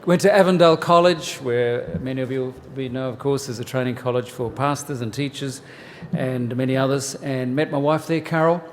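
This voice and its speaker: A man in his sixties with an Australian accent, from New South Wales. He gives a church workshop talk in a relaxed, joking way, with many "uh" fillers. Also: medium pitch, moderate pace, hardly any pauses, big-room sound, slight background noise. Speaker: family ministries pastor. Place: church hall.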